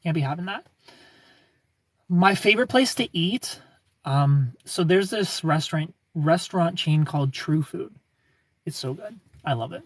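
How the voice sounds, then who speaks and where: A man in his thirties hosting a casual livestream, reading viewer comments and greeting them by name in a smooth, laid-back way. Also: a little high, lively intonation, a little slow, long pauses, dry recording. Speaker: livestream host.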